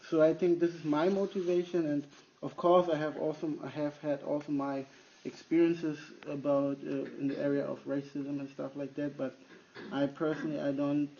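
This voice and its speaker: A man who works as a youth pastor, answering at length in a halting way with frequent restarts. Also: a little high, level tone, mellow. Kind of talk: conversational interview answer. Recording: dull lo-fi recording.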